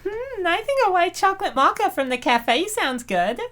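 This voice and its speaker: A woman's voice: extremely high pitch, sing-song, moderate pace, a bit bright, full character voice. A woman voicing a cheerful, self-indulgent young woman in an amateur comic dub. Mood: casual, eager.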